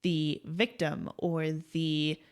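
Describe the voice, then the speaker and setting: A woman's voice: low voice, little pitch movement, drawn-out words. A woman co-hosting a film podcast who adds short, interested reactions and comments in casual chat. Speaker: podcast co-host.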